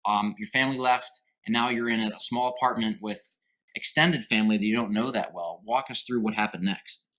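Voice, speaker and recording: A man's voice: fairly low pitch, brisk, sharp tone, low-quality recording. A man hosting a podcast interview, emphatic and addressing listeners directly. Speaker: podcast host.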